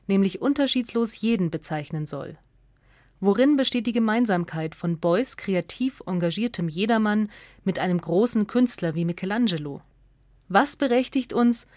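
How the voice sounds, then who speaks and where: Woman doing radio essay narration, measured n factual, really dry sound. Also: medium pitch, darkish tone, no room sound. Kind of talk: scripted documentary narration.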